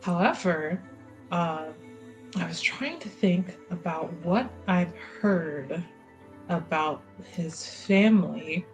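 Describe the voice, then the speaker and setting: A woman running a tabletop game, narrating in a measured, hesitant way with fillers as she recalls details. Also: fairly low pitch, music in the background, low-quality recording.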